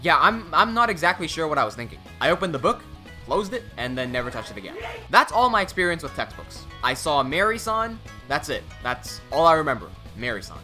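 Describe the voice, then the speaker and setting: A man's voice: a little high, very animated, fairly quick, bright, forceful tone, soft background music. A young man whose first languages are English and Cantonese, talking candidly and self-mockingly in a casual vlog, recorded in a small room. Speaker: language-learning YouTuber.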